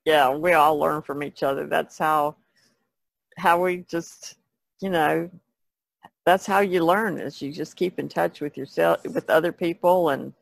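A woman's voice: deep voice, creaky, dry recording. A woman co-hosting a podcast in casual chat, speaking in a plainspoken, practical way. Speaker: podcast co-host.

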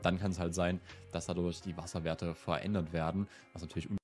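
A man in his twenties with a slight cold, hosting a relaxed question-and-answer vlog in a chatty, easygoing way. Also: low-pitched, brisk, faint music behind. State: slightly ill. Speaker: host. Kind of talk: casual Q&A vlog. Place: indoor room with plant wall and lit shelves.

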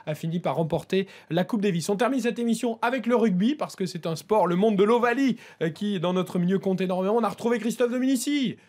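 A man hosting a lively sports radio programme at a studio desk microphone. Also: sits high, fast, few pauses. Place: radio studio with several guests.

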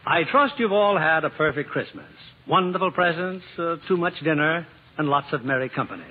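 A man's voice: a little high, animated, fairly quick, husky. A man hosting and narrating in a formal, scripted vintage radio style. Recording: muffled old radio broadcast, music behind.

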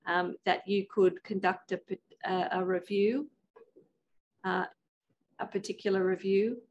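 A woman questioning a witness formally as counsel, with deliberate, careful phrasing. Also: medium pitch, little pitch movement, lo-fi sound, a little hiss or hum. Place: indoor hearing room.

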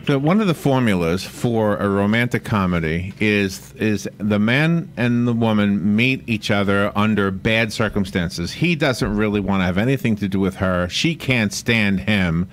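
Low-pitched man hosting casual radio. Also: normal speed, hardly any pauses. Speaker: radio host. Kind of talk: casual radio banter and interviewing. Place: radio studio.

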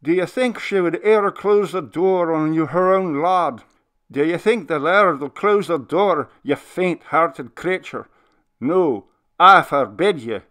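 A man's voice: on the high side, big pitch swings, slow, pitched above the performer's own voice. A man voicing an old minister who pleads with a ghost, his voice sobbing and commanding by turns.

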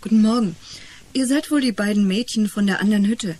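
A woman's voice: mid-range voice, a little fast, mellow, natural voice. An actress playing a friendly, welcoming mother in a 1980s German radio play.

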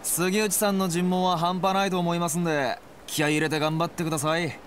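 An actor playing a cool young policeman in a video game, detached and unhurried, needling with sly politeness. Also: high voice, flat delivery, slight background noise, natural voice. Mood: coolly taunting.

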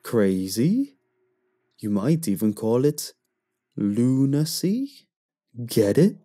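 A man's voice: medium pitch, very animated, warm tone, breathy edge, pitched above the performer's own voice, full character voice. A man voicing a talking moon that speaks in someone's head, smug and fond of bad puns. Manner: smug, drawn-out joking delivery.

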